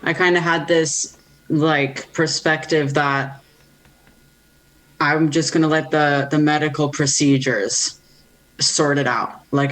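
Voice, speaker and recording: A woman's voice: deep voice, poor audio. A woman guest in an interview, telling her story in a wry, self-mocking way. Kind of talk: candid interview answers.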